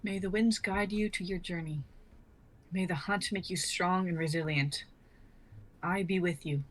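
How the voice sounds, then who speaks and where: A woman's voice: lowish voice, fairly even intonation, measured pace. A woman playing a protective, motherly hobbit in an amateur fantasy script reading, stern but caring and earnest. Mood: solemn, hopeful.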